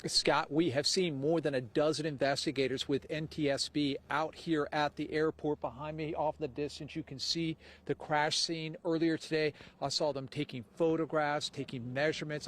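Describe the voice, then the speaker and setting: A man's voice: on the high side, slight background noise. An American man reporting live for TV news from outdoors at an airport.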